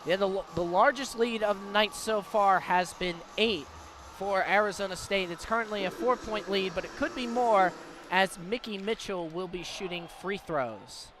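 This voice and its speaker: High-pitched American man calling basketball. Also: moderate pace, fairly bright tone. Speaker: radio play-by-play basketball commentator. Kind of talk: live sports play-by-play commentary. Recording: live broadcast with clear background noise. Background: basketball game ambience, bouncing ball.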